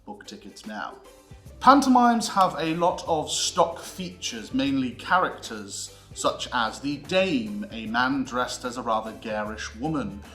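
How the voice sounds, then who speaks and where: A roomy, echoing recording carries a British man's voice over background music. He narrates like a playful lecturer, emphatic and theatrical, at a medium pitch, slipping dry comic asides into his scripted explanation. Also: sing-song, a little slow, bright, forceful tone. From United Kingdom. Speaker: presenter of a theatre history series. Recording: roomy echo with music underneath. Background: background music with guitar.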